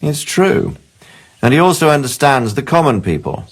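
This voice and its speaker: An actor playing an authoritative middle-aged nobleman in a video game, composed, measured and firm as he gives orders. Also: medium pitch, very animated. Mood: firm, persuasive.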